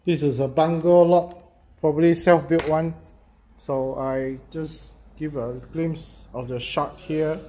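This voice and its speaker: Slow-talking man giving a house tour. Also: on the high side, fairly even intonation, mellow, slight background noise. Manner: halting, repetitive phrasing with many fillers. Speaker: real estate agent. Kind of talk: casual property walkthrough. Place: walking through a house and yard, in and out of doors.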